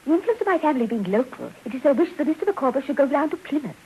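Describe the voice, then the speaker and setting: A prim dramatic debtor's wife, very high voice, old radio play. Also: female voice, sing-song, racing, warm tone, rough recording. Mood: genteel, proud. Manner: prim, theatrical. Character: genteel, melodramatic, devoted middle-aged debtor's wife. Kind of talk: 1950s radio drama acting. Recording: hissy old radio broadcast.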